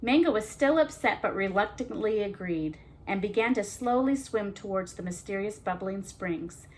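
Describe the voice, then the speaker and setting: Female children's storytime reader: medium pitch, expressive narration with casual asides, slight ambient noise. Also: moderate pace, low-quality recording.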